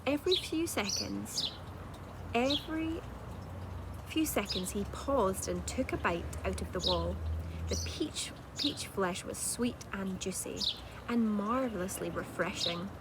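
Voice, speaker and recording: A woman narrating a children's storybook like a teacher reading aloud, with measured, dramatic delivery. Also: mid-range voice, animated. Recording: clearly noisy home-made recording.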